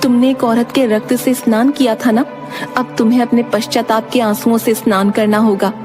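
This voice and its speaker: Woman voicing a stern, high-pitched magical fairy. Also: flat delivery, moderate pace, over music, lightly acted. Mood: stern, admonishing. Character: stern divine fairy beauty with magic powers.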